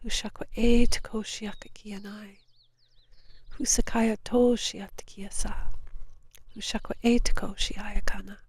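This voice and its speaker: A woman with a stuffed-up, nasal voice, outdoors on a beach, guiding a spiritual session in soft whispered chants and calm talk. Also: mid-range voice, unhurried, slightly rough, no echo. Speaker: spiritual guide. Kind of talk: guided light language session.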